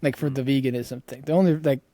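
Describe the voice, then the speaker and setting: A man's voice: mid-range voice, steady tone, fast, mellow, no room sound. A man in his thirties chatting casually as a podcast co-host, blunt and free with swearing. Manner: blunt, profane. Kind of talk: casual chat.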